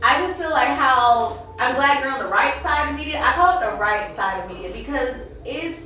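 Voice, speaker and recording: A woman's voice: high voice, expressive, normal speed, pushed and bright, slight background noise. A woman hosting a casual interview, talking in a conversational, opinionated and emphatic way. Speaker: interviewer, show host. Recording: echoey room, distant microphone.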